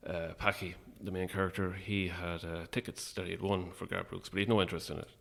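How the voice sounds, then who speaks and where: Deep, gravelly middle-aged Irish man. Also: flat delivery, fast, fairly bright tone. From Ireland. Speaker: guest, playwright and actor. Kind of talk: casual interview chat.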